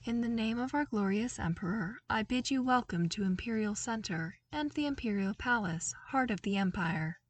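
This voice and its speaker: A woman voicing a formal guard officer in a storybook narration, speaking in a measured, ceremonious way. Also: fairly high pitch, lively intonation, far from the mic.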